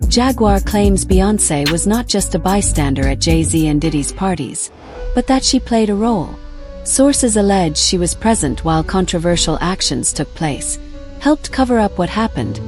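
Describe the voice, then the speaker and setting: A woman reading scripted narration as the narrator of a video. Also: fairly low pitch. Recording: music bed behind voice.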